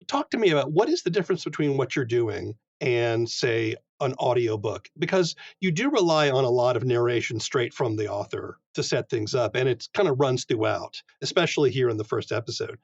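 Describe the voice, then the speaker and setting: A man's voice: medium pitch, husky, no echo. A man hosting a conversational interview, reflectively building his questions, heard through slightly dull, lo-fi audio. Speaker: interviewer, host.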